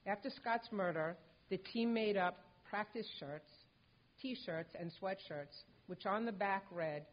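An older woman at a lectern, reading a prepared tribute speech in a calm, measured way. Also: medium pitch. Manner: measured, composed delivery. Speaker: mother accepting a hall of fame honor for her late son. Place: stage with a lectern in a large indoor hall. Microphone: lectern microphone. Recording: dull lo-fi hall recording.

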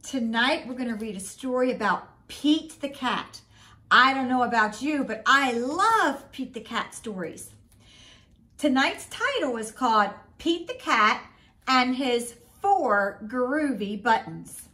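Lively, high-pitched woman reading to children. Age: middle-aged. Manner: expressive, slow and deliberate for children, with sing-song refrains. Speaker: school principal, guest story reader. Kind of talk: children's picture-book read-aloud. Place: small home room, indoors.

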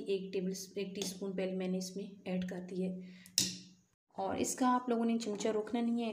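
Woman talking thru a recipe at home, calm and patient, bit of room echo. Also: mid-range voice, slight background noise. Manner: patient step-by-step instruction. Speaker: cooking channel host. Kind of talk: home cooking tutorial voice-over. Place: home kitchen.

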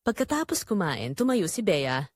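A woman's voice: on the low side, very animated, normal speed, dry recording, studio quality. A woman playing a guilty middle-aged mother confessing a forbidden affair in an emotional, scripted romantic audio drama. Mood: tense, yearning.

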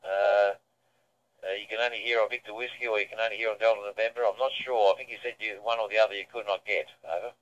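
Muffled ham radio transmission, guy with a lowish voice just chatting about signals. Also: fairly even intonation, fast. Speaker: amateur radio operator. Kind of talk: unscripted ham radio exchange. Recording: dull band-limited two-way radio audio.

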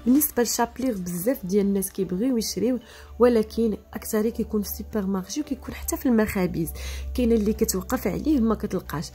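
A woman's voice: medium pitch, rapid-fire, warm tone, breathy edge, faint music behind. A young woman with a Moroccan Arabic accent hosting a cooking tutorial, chatty and practical, talking straight to viewers. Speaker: cooking channel host.

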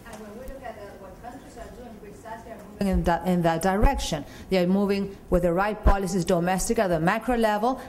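A woman's voice: mid-range voice, darkish tone. A middle-aged woman making remarks in a panel discussion. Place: indoor conference panel stage. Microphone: gooseneck table microphone.

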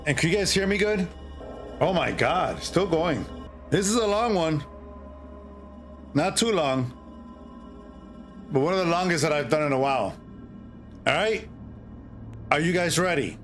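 Slow-talking middle-aged man over music. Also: a little high, expressive, fairly bright tone. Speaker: livestream host and storyteller. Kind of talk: casual livestream chat and horror story reading. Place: indoor streaming setup.

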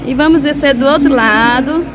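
A woman's voice: high voice, moderate pace, a crowd in the background. A middle-aged woman hosting a vlog, chatting casually as she guides viewers on a walking tour of a street market. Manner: chatty, guiding viewers along. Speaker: vlog host. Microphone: handheld mic.

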